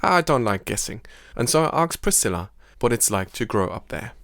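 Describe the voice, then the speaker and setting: A man hosting a podcast, reading scripted narration in a measured way. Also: mid-range voice, sing-song, gravelly, fairly bright tone, no room sound. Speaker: podcast host. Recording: dry studio voice-over with music.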